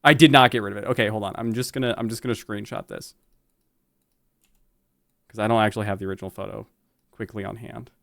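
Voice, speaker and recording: A man's voice: lowish voice, fairly even intonation, quick pace, gravelly, long pauses, fairly bright tone, no echo, clean studio sound. A man co-hosting in casual, teasing banter, rambling and often interrupting himself. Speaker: show co-host.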